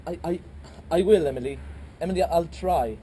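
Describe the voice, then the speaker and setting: A woman's voice: fairly low pitch, moderate pace, dark tone, a little hiss or hum, natural voice. A woman playing a nervous young groom who stammers and speaks urgently. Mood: earnest.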